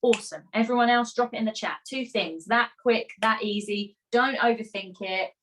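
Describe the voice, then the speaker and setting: A woman coaching musical theatre performers in a live online masterclass, energetic and encouraging, chatty with rhetorical questions. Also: on the high side, moderate pace, slight background noise. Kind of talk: live online masterclass, reading chat comments.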